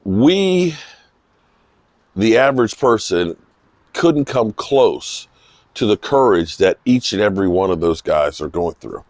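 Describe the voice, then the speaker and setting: Slightly hoarse man, slow and deliberate. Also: lowish voice, sing-song, a little mellow, dry recording. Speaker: football vlogger giving post-game reaction. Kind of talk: unscripted emphatic sports commentary. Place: outdoors in misty rain.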